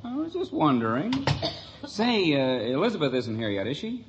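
High-voiced, grumbling sitcom husband. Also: male voice, huge pitch swings, normal speed. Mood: weary, grumbling. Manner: blunt, sarcastic. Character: grumbling, wisecracking, bewildered married neighbor man. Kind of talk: 1950s radio sitcom acting. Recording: muffled, hissy old radio broadcast.

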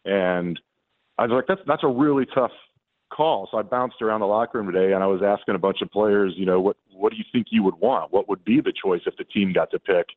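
A man calling in to a sports radio show as a reporting guest, telling stories in a conversational way. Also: on the low side. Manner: conversational, storytelling with restarts. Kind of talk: casual call-in chat. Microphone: phone. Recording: tinny phone line.

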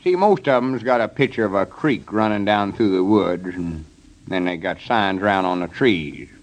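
An actor playing a proud, folksy small-town storekeeper and schemer with a rural Southern US accent, in 1930s radio comedy style. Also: male voice, deep voice, animated, moderate pace. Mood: boastful. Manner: folksy, self-satisfied explaining.

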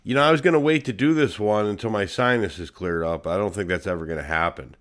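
A man's voice: lowish voice, fast talker. A man hosting his own podcast, talking casually and bluntly with a congested, nasal voice and lots of swearing. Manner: blunt, profane, animated. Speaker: podcast host. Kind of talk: casual solo podcast monologue.

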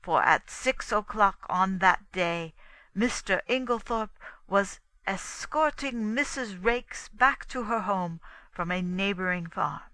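A commanding male detective from a storybook, acted out by a woman, speaking in slow, deliberate pronouncements. The delivery is theatrical and pointed, with a sharp, forceful edge and a formal air of menace. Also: mid-range voice, no echo. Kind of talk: dramatic audiobook character dialogue.

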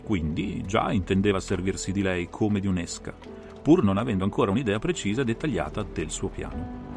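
A man performing an analytical gentleman detective recounting a solved case in a dramatized audiobook, with measured, theatrical phrasing. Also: low voice, big pitch swings, creaky, music in the background, full character voice. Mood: grave, analytical. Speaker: audiobook reader and interpreter.

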